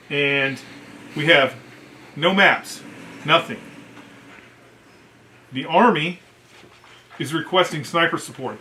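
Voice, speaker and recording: A middle-aged American man, a veteran, casually telling profanity-laced service stories in an animated way, acting out what others said. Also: on the high side, slight background noise, rough recording. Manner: animated, profane, acts out quoted dialogue. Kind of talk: casual anecdotal storytelling.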